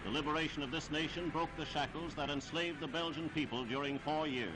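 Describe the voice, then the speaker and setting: A man reading scripted 1940s newsreel narration in a formal, declamatory, measured delivery. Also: fairly high pitch, steady tone, background noise. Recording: 1940s archival newsreel soundtrack with music.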